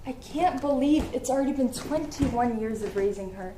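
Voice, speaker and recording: Echoey, high-voiced actress as a tender mother. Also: animated, normal speed, natural voice. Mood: fond, wistful. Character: tender, forgetful mother devoted to her daughter. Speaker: student actor in a one-act play. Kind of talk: dramatic monologue, reading diary entries. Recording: echoey hall, distant mic, faint noise.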